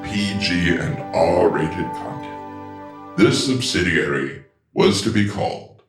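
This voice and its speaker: A man's voice: deep voice, slow. A man delivering dramatic scripted narration for a radio play, with music behind him. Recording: roomy echo.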